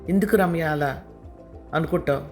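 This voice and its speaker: A man's voice: fairly high pitch, normal speed, faint music behind, voice pushed up, close to an everyday voice. A man voicing a troubled young husband in an audio story, speaking earnestly and sounding hurt.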